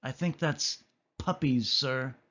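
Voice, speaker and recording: A man's voice: mid-range voice, takes it slowly, bright, forceful tone, highs cut off. A man voicing a dutiful, polite sergeant cat in a storybook, speaking deferentially.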